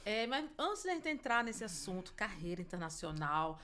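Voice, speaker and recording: Bright, effusive woman co-host. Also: medium pitch, big pitch swings, moderate pace. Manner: warm, effusive introductions. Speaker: podcast co-host. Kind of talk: casual podcast chat.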